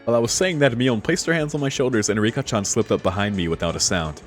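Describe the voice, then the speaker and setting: A man's voice: mid-range voice, fast, soft background music. A man streaming a let's play, amused and slangy, commenting casually and reading lines aloud.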